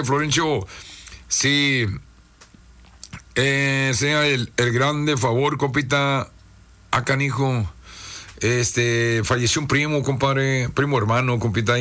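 A hoarse man, hosting a radio show and reading listener greetings in a chummy, casual way. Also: mid-range voice, a bit bright. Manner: chummy, reads listener messages aloud. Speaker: radio host. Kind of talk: casual radio shout-outs.

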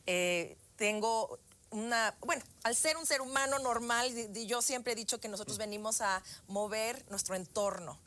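A woman, a singer and guest, speaking heartfelt and pausing to search for words on a live telethon. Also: a little high, a bit bright.

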